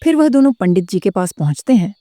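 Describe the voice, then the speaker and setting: A woman's voice: mid-range voice, sing-song, fast talker, dark tone, no room sound. A woman narrating a scripted horror story as its storyteller. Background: prominent music.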